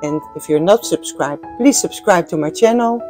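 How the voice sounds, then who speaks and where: A woman's voice: fairly low pitch, lively intonation, moderate pace, warm tone, soft background music. An older Dutch woman, a native Dutch speaker with a Dutch accent, hosting a knitting vlog in a chatty, gushing way with many fillers and restarts. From Netherlands. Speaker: knitting podcast host. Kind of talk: casual chat.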